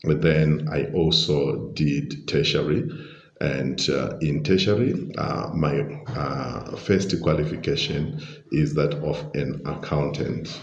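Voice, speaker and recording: The senior guest at a podcast table in a roomy restaurant, a Zimbabwean man explaining things genially in a very deep, unhurried voice. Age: middle-aged. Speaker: podcast guest, leadership coach and pastor. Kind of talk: conversational interview answers. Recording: dull, roomy, echoing sound.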